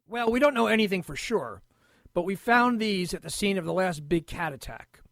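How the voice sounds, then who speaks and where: A blunt male police detective in audio drama. His voice is high for a man, gravelly and close in a dry room, with gruff, suspicious questioning. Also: expressive, moderate pace, fairly bright tone, no echo, exaggerated voice. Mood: blunt, probing.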